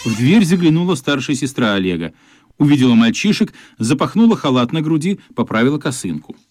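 A man's voice: medium pitch, very animated, fairly quick, a little mellow, no room sound. A man reading scripted narration for a radio play. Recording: dry studio, music behind.

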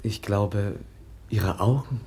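A shy, dreamy gentleman in a radio drama, in a lowish, soft dark tone. He speaks haltingly and slowly, with gentle wonder, over faint rumble. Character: gentle, hesitant, dreamy gentleman, shyly awed. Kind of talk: German radio play acting.

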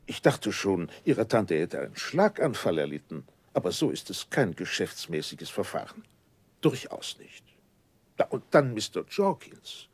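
An actor playing a stiff, unyielding gentleman lawyer in a 1960s German radio play, formal, pompous and falsely regretful, repeating himself. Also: deep voice, very animated, a little slow, slightly rough, no room sound, exaggerated voice. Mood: coolly dismissive.

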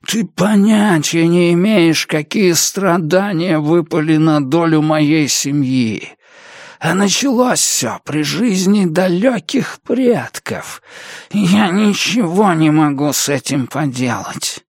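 A man voicing a small, vengeful, cursed fanatic in an audiobook story, with slow, drawn-out threats. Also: a little high, no echo, studio quality, pitched above the performer's own voice. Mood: bitter.

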